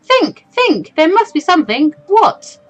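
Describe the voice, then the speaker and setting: A woman's voice: very high voice, very animated, unhurried, dull audio, pitched above the performer's own voice, heavily acted. A woman voicing an anxious, awkward fourteen-year-old schoolgirl from a visual novel, hesitant and self-doubting. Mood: panicking. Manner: hesitant, stammering, over-thinking. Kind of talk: visual novel character reading. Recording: music behind the voice.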